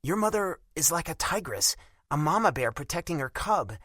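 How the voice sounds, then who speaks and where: A blunt, proud young woman with a raised, lively voice and a cutting, sarcastic edge, as a male audiobook narrator puts her on. Her words come out bright and sharp, close and dry. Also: a little high, normal speed, no echo, voice pushed up, exaggerated voice.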